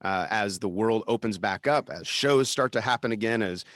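A man's voice: fairly low pitch, normal speed, fairly bright tone, no echo, studio quality. A man in his forties hosting a casual conversation, reflective and rambling, laughing often. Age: 40s. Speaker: podcast host, musician.

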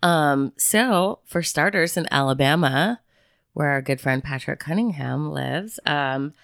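A woman's voice: deep voice, a little slow, vocal fry, no echo, clean studio sound. A woman co-hosting a casual podcast, telling stories with lots of swearing and laughter. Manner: animated storyteller, swearing and laughing often. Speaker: podcast co-host. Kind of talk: casual chat.

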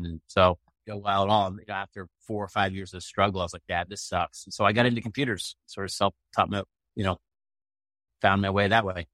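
A man's voice: on the low side, a bit bright, no room sound. A middle-aged American man from Maine telling his story casually as an interview guest, in a blunt, colloquial way. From Maine, United States. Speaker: interview guest, tech entrepreneur.

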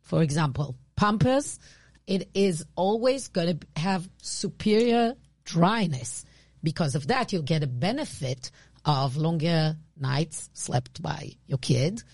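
Woman, Israeli accent, lowish voice, dry close recording, animated conversational talk. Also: slow, husky, no echo. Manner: animated, restarts often, laughs easily. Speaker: podcast co-host, branding expert. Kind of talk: casual conversational explanation.

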